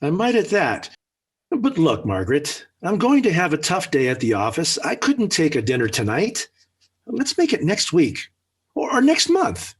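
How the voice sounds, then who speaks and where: Blustering middle-aged father, high voice, slightly husky, flustered, indignant, 1940s radio sitcom. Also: wildly swooping pitch, normal speed, exaggerated voice. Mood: grumbling, stubborn. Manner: emphatic, flustered, sputtering indignation. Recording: old 1940s radio recording.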